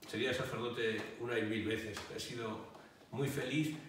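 A man in his forties with an accent from Spain speaks as an interviewed priest. His delivery is measured and explanatory, at a middling pitch. The sound is very reverberant and a bit distant, with faint noise. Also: fairly even intonation, fairly bright tone. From Barcelona, Spain. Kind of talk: interview answers, explaining faith. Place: indoor hall with wooden bench. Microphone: small mic held out at arm's length by interviewer.

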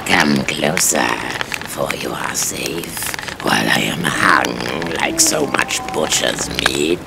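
A man playing the ghost of a hanged man, speaking in a deep gravelly whisper with slow, archaic, mocking phrasing. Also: very noisy, full character voice. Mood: beckoning. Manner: slow, archaic, taunting with eerie laughs. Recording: loud music score behind.

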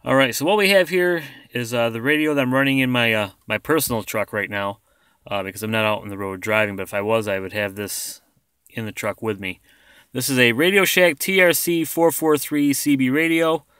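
A man's voice: mid-range voice, fairly quick, fairly bright tone, clean tone, dry recording, studio quality. An American man in a casual, rambling, self-deprecating vlog, showing off gear as he talks. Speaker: vlogger, former truck driver. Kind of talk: casual product showcase vlog. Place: inside a parked vehicle, later outdoors beside it.

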